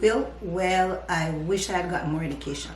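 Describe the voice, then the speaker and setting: An older woman with a Jamaican accent, a grandmother answering interview questions thoughtfully, with pauses. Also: fairly low pitch, slight background noise. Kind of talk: casual interview. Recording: echoey room.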